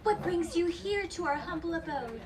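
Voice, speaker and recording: A woman's voice: very high-pitched, expressive, normal speed. A woman acting a pure young farm damsel in hammy radio melodrama, overwrought and innocent. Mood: fretful innocence. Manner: breathy melodramatic indignation, overwrought. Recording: live stage with audience noise.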